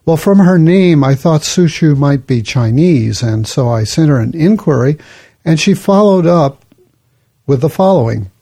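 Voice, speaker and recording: A man hosting his own podcast, talking in a relaxed, conversational way and reading listener emails aloud. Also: a little high, measured pace, slightly rough. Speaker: podcast host. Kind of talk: casual podcast talk.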